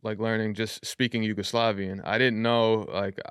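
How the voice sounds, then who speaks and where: A man's voice: on the low side, little pitch movement, normal speed, fairly bright tone, smooth, no room sound, clean studio sound. A young adult man, a rapper as a guest on a podcast, talking loosely and at length with plenty of fillers. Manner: loose, chatty, frequent fillers. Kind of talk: casual interview talk.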